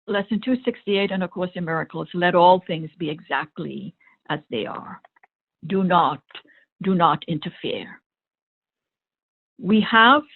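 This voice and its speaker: A woman meditation coach giving a spiritual talk in deliberate short phrases, with long pauses between them. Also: fairly low pitch. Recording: thin phone-line sound.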